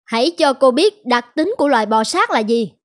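A woman playing a stern, middle-aged schoolteacher in a cartoon, brisk and admonishing. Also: sits high, big pitch swings. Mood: demanding. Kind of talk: animated cartoon dubbing in Vietnamese.

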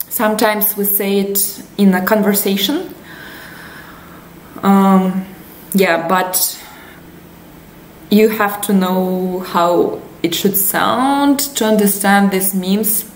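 A woman's voice: medium pitch, slight background noise. A young woman with a Russian accent, chatting casually as she explains internet memes in a lesson, with frequent fillers and laughs. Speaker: language teacher. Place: small room indoors. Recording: echoey room.

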